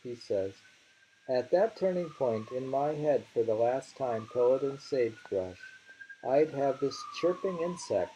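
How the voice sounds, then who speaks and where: A man reading poetry aloud with casual commentary, in an unhurried, halting manner. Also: mid-range voice, animated, mellow, muffled recording. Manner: unhurried, halting, repeats lines. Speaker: reader of translated poetry. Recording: faint hiss.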